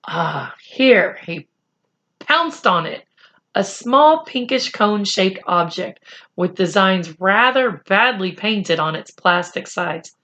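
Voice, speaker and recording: A woman reading a children's chapter book aloud in a deliberate, expressive storytelling style. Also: mid-range voice. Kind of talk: read-aloud storytelling narration.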